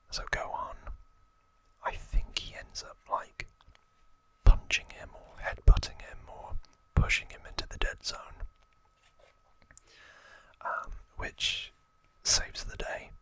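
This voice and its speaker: This is an ASMR host speaking in a steady, unhurried whisper. The sound is crisp and bright, kept close to the mic. The delivery is casual and chatty, like relaxed storytelling. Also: low-quality recording. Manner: casual, informal recap with repeated words for effect.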